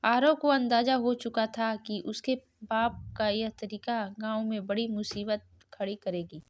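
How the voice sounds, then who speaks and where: A woman's voice: on the high side, steady tone, unhurried. A woman narrating a scripted moral story as the storyteller.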